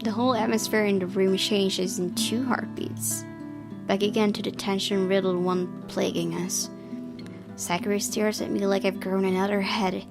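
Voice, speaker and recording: A woman's voice: medium pitch, little pitch movement, moderate pace, gravelly. A young woman reading visual novel narration aloud as the host of a gaming video. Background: game music with guitar.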